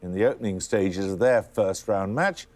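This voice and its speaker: A man hosting a televised quiz in a crisp, dry, formal manner, reading questions. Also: on the low side, moderate pace, a little mellow. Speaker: quiz show host.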